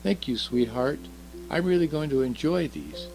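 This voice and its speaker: Man as a kindly old Christmas gift-bringer, gravelly creak, lively intonation, genial, reassuring, storybook telling. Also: medium pitch, normal speed, soft background music, low-quality recording. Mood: kindly, assured.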